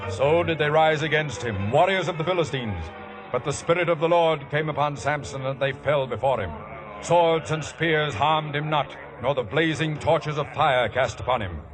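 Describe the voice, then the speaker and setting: An actor as a solemn biblical storyteller, narrating a 1950s radio drama in a stately, dramatic manner. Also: male voice, fairly high pitch, moderate pace, natural voice, rough recording. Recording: old radio broadcast with orchestral music.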